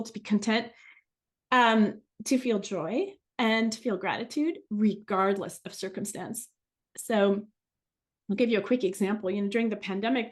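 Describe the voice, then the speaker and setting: Middle-aged woman, native French speaker from France, medium pitch, guest speaker, conversational and reflective talk.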